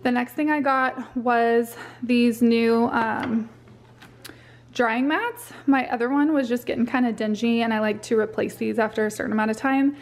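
A woman's voice: fairly high pitch, fairly even intonation, normal speed. A young woman giving a chatty, upbeat voice-over for a home vlog, with filler words like "um" and "like.". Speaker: home vlogger. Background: faint background music.

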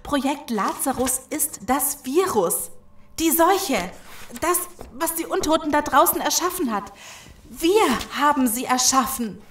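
An actress playing a shaken, knowing woman in a horror audio drama, speaking gravely and deliberately. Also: high-pitched, animated, a bit bright. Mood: dread. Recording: roomy echo, faint background noise.

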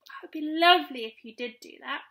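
A woman's voice: high-pitched, fairly quick, a bit bright. A woman voicing an eager, curious young girl in a children's storybook, exclaiming earnestly.

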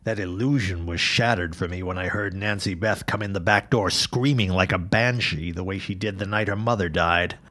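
An actor playing an earnest, troubled forty-year-old newspaperman and widowed father in a period audio drama, speaking haltingly. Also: low-pitched, pushed and bright. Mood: shaken, troubled.

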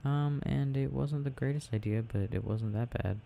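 A man playing a sleepy, tender boyfriend in a soft ASMR roleplay, murmuring to himself as he games and sometimes dropping into a whisper. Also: medium pitch, normal speed, vocal fry, a little hiss or hum, close to an everyday voice. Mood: musing. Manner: murmuring, dropping into whispers, hesitant self-talk. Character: sleepy, tender, sensitive boyfriend rambling while gaming. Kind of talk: soft intimate ASMR roleplay, unhurried rambling.

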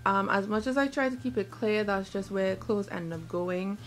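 A woman's voice: medium pitch, fairly even intonation, moderate pace. A woman in her twenties chatting casually to a handheld camera in a bedroom, rambling and correcting herself as she goes. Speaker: vlogger. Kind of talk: casual vlog chat. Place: small bedroom, indoors. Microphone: handheld camera at arm's length.

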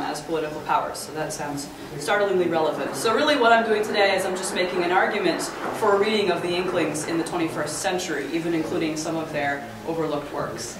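A woman in her thirties giving an explanatory, engaged conference talk as a literary scholar. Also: on the low side. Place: hotel conference room. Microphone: camera at a distance. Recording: echoey room, distant mic, faint noise.

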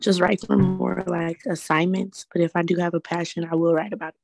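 A woman's voice: lowish voice, little pitch movement, fairly quick, vocal fry, darkish tone, soft background music. A young woman in her late teens, a student making an informal, earnest, tentative comment on a call. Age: late teens, young adult. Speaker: high school student audience member. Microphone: video call.

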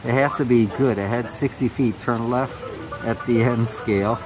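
A man's voice: mid-range voice, steady tone, moderate pace, music in the background, poor audio. A man vlogger making enthusiastic remarks on a visit to an indoor train museum. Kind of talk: casual chat.

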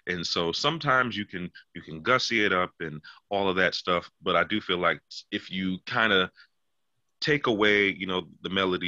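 A man's voice: low-pitched, bright, forceful tone, no echo. A man in his thirties, a songwriter on a panel, talking reflectively with many fillers over a video call from home. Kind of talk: panel discussion. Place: small home room indoors. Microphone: phone at arm's length on video call. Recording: dry, dull lo-fi video call audio.

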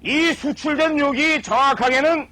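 A man on a comedy stage giving a mock lecture, drawing out his words slowly for emphasis. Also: very high-pitched, sharp tone. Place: stage with live audience.

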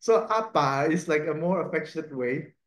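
A man, a priest and native Cantonese speaker, giving a gentle, conversational reflection on the Sunday readings, often adding a questioning "no?". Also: on the high side, lively intonation, moderate pace. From Cantonese-speaking region. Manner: gentle, conversational, tag "no?" and soft laughs. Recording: echoey room.

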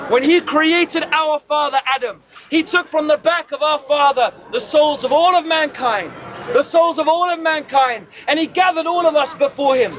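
A man preaching outdoors to a crowd, shouting fiery challenges and punctuating his points with a sharp "huh?". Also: very high-pitched. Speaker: street preacher. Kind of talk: open-air shouted preaching to passers-by. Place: outdoors in a city, crowd around. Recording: dull lo-fi outdoor recording with crowd.

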